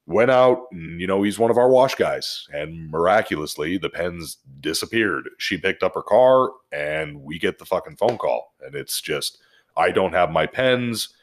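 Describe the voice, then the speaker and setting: A man's voice: low-pitched, animated, a little slow. An American man chatting casually and telling anecdotes as a podcast co-host, with slangy, salty language. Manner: profane, slangy.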